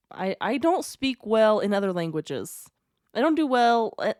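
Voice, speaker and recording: A woman's voice: medium pitch, clear, resonant tone, no room sound. Chatty woman with a dry, close voice. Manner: chatty and digressive, with asides and wry jokes. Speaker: podcast host. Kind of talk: casual storytelling podcast.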